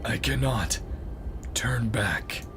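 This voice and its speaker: A man's voice: mid-range voice, lively intonation, drawn-out words, music in the background, voice pushed up. A man voicing a sorrowful but resolute crown prince in game dialogue.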